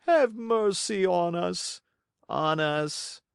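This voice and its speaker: A man voicing an old, sly, starving fox who begs in a tearful, drawn-out whine. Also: sits high, gravelly, clear, resonant tone, no echo, clean studio sound, pitched above the performer's own voice, heavily acted. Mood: pleading.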